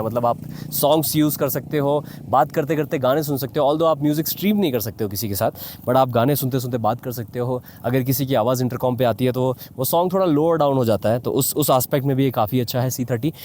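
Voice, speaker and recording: A man's voice: medium pitch, fast talker, darkish tone. An Indian man gives a casual, explanatory review to viewers, mixing English phrases into his speech, in the style of a motovlogger. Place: outdoors in a mountain valley. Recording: clearly noisy outdoor ambience.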